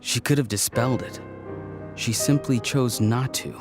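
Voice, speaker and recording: A man playing a cool, cryptic, observant strategist in a video game, speaking in calm, measured phrases. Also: mid-range voice, natural voice. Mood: cool, knowing. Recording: music behind the voice.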